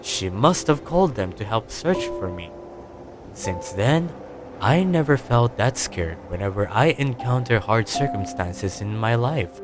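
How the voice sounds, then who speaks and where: Slow, expressive man narrating over music. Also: on the low side. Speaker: audiobook narrator. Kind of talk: scripted first-person story narration.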